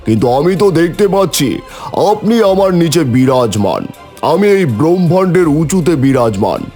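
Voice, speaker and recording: A man's voice: a little high, sing-song, moderate pace, warm tone, soft background music. A man voicing a proud, boastful creator god with a pompous, declamatory manner in a mythological cartoon.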